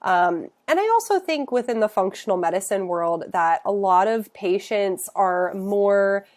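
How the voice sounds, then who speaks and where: A woman's voice: medium pitch, animated, unhurried, a little mellow. A woman who works as a functional nutritionist, congested from a cold, explaining health topics in a casual, explanatory way with many filler sounds. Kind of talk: educational talk to camera.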